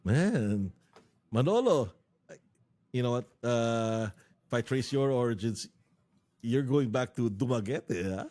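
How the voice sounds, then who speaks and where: A man's voice: mid-range voice, animated, a little slow, pauses often, dark tone. A man hosting a podcast in casual interview chat. He jokes, laughs often, and talks with many fillers and restarts. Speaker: podcast host.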